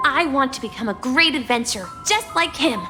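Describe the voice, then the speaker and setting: A woman voicing an earnest, wistful young boy who dreams of adventure, in a video game. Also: sits high. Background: background music.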